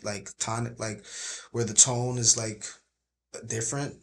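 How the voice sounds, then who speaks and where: A man with a low voice, talking casually as a guest on a podcast, with lots of filler words. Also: a little slow, vocal fry, slight background noise. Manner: relaxed, many filler likes. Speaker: guest rapper.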